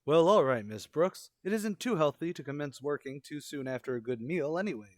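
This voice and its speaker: A man's voice: fairly high pitch, expressive, brisk, lightly acted. Earnest, oblivious radio comedy schoolteacher played by a man. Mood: cheerfully oblivious. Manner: earnest, flustered, literal-minded. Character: earnest, naive, oblivious male biology teacher. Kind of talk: old-time radio situation comedy acting.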